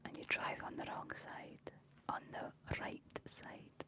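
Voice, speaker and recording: A woman with a Scottish accent whispering as an ASMR live streamer, reading and answering chat messages. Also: slow pace. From Scotland. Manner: speaks entirely in a whisper.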